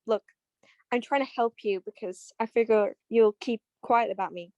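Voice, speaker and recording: A woman's voice: a little high, normal speed, dark tone, highs cut off, natural voice. A woman playing a guarded, desperate femme fatale killer in a radio detective drama. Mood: guarded, bargaining.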